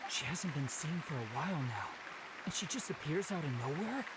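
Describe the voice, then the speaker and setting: A man's voice: on the high side, animated, brisk, heavy background noise, close to an everyday voice. A man voicing a gossipy, curious theatergoer in a video game. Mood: impressed. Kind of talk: game voice acting. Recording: loud background ambience.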